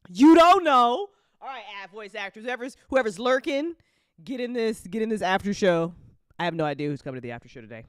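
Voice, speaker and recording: A woman's voice: lowish voice, clean tone, no echo, studio quality. A woman hosting a live after show in casual, joking chat, playfully repeating phrases for emphasis.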